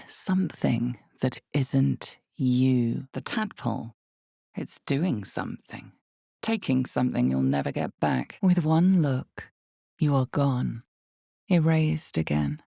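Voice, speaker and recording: A woman playing the ominous, knowing narrator of a dark fantasy game, telling the story in a deliberate, theatrical and intimate way. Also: extremely low pitch, vocal fry, no echo, clean studio sound, exaggerated voice. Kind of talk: dramatic scripted fantasy narration.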